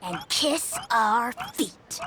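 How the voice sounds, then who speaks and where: A woman voicing a tiny, bratty dragon lord in a video game, haughty and bombastic, with theatrical insults and a creaky, sharp voice. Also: on the high side, animated, extremely slow, background noise. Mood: imperious mockery. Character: tiny, pompous, bratty self-proclaimed dragon lord creature.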